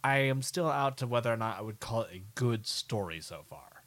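Casual male co-host with mid-range pitch. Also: expressive, a little fast, fairly bright tone. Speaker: podcast co-host. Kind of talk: casual discussion.

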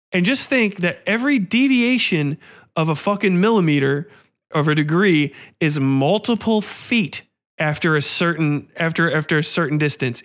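Male podcast host, slightly high voice, casual film commentary, blunt, sarcastic and foul-mouthed. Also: fairly bright tone. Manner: profane, blunt, ranting.

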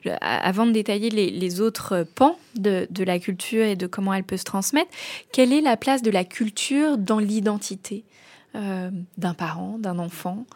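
A woman hosting an interview, asking her questions in a measured, attentive way. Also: medium pitch, darkish tone, no room sound.

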